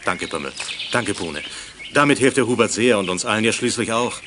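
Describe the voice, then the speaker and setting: A man's voice: on the low side, animated, a little fast, background noise, natural voice. A male actor playing a stern but kindly youth village leader in a children's radio play, with a fatherly, authoritative manner. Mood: kindly, firm. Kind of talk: 1980s German children's radio play acting.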